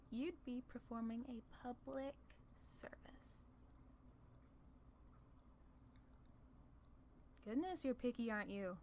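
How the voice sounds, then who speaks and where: A woman's voice: a little high, slight background noise. A woman voicing a playful, proud demon ruler of hell in a casual, sardonic ASMR roleplay. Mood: persuasive, businesslike. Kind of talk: ASMR roleplay audio drama.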